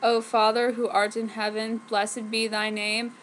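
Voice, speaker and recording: A woman's voice: fairly high pitch, little pitch movement, measured pace, fairly bright tone. A woman voicing a brooding, sorrowful teenage vampire boyfriend with an earnest, mock-tragic delivery in a fan fiction reading. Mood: solemn. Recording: clearly noisy home recording.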